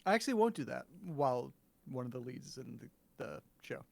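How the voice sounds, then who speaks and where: A man's voice: medium pitch, sing-song, a little fast, creaky, a little mellow. A man hosting a casual livestream, bantering with a dry, joking manner. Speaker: show host.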